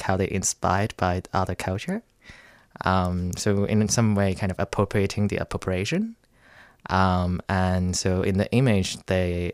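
Low-voiced man with a Hong Kong accent. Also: no echo, clean studio sound. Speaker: artist interviewed as guest. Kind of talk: radio interview, casual explanation. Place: radio studio.

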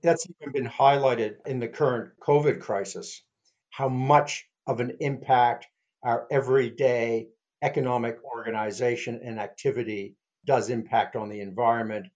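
Older man, hesitant, author guest, muffled lo-fi sound, mid pitch. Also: fairly even intonation, moderate pace, a little mellow. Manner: hesitant, with fillers. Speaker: author, activist. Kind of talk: interview answers.

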